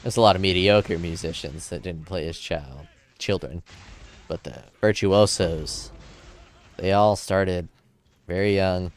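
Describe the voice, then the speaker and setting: A man's voice: deep voice, a little slow. A man streaming a game from his home desk, chatting casually and reading chat messages aloud, a little drunk. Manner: laid-back, rambling, joking. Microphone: desk mic in front of keyboard.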